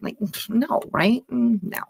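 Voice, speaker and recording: A woman's voice: on the high side, takes it slowly, vocal fry, a little mellow, breathy edge, no room sound, studio quality. A woman giving casual, snarky commentary on a clip, mocking and swearing freely. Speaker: reaction channel commentator.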